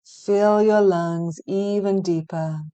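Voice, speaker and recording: Very mellow, slow-speaking woman guiding relaxation. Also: medium pitch, steady tone, smooth. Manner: soothing, gentle, measured with long pauses. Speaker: hypnotherapy practitioner guiding meditation. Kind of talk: guided relaxation meditation, hypnotic scripted narration.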